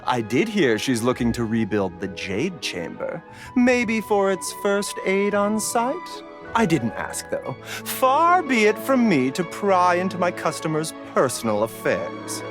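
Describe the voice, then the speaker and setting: A man playing a courteous, wry pharmacist in a video game, with a polite lilting way of talking. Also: a little high.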